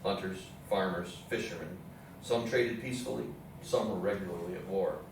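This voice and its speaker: Male narrator for historical readers theatre, lowish voice, heavily reverberant room, distant pickup. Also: normal speed. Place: indoor theatre room with audience program. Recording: echoey hall, distant mic, faint noise.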